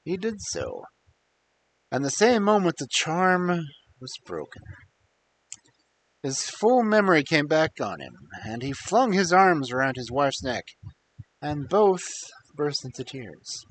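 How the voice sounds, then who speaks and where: Unhurried male storyteller with lively pitch. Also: rough recording. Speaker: storyteller, live stream host. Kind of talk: expressive fairy tale narration. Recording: faint background hiss.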